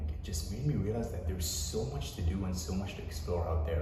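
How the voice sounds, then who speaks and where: Deep-voiced man, echoey far-off room. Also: noisy background. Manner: relaxed, rambling, with fillers. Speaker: personal trainer. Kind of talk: casual vlog update.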